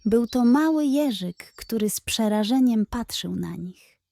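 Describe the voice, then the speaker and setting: Female bedtime storyteller, gentle, measured, warm and mellow. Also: a little high, lively intonation, gravelly, no echo, clean studio sound.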